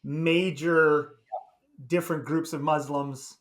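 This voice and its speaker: A man's voice: on the high side, steady tone, slow pace, fairly bright tone. A man hosting a casual interview podcast, chatty and eager, with frequent "uh"s and restarts. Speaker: podcast host.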